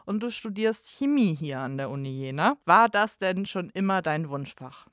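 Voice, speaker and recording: A woman hosting a university podcast, asking questions and explaining things in a clear, organized way. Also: on the low side, animated, moderate pace, fairly bright tone, dry recording, clean studio sound. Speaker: podcast host, university staff. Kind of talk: friendly podcast interviewing.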